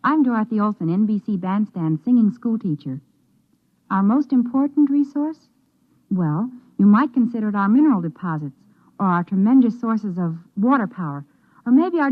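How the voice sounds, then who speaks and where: A woman with an American accent reading a scripted 1950s radio public service message in an earnest, instructive manner. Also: fairly high pitch. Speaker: singing schoolteacher giving a public service message. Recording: thin phone-like vintage radio recording.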